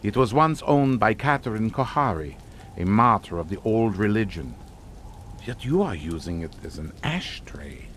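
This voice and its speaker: Courtly, menacing count in radio suspense; formal, deliberate, richly varied melody. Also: male voice, on the low side, big pitch swings, fairly bright tone, slight background noise. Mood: ominous, measured. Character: suave, sinister aristocratic Hungarian count and uncle. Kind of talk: radio suspense drama acting.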